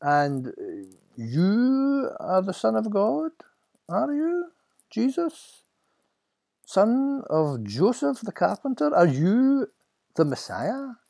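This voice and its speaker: A male storyteller plays a sly high priest presiding over a trial. The voice sits high and swoops widely, drawing words out with theatrical, mocking condescension. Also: wildly swooping pitch, slow pace, gravelly, dark tone, exaggerated voice.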